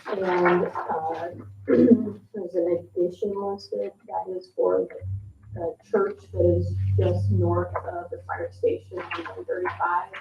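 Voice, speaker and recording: Woman, staff report, lowish pitch, slow halting delivery, distant muffled microphone. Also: creaky. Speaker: town development staff giving report. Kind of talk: halting spoken status report. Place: indoor public meeting room. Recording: echoey room, distant mic, muffled, noisy.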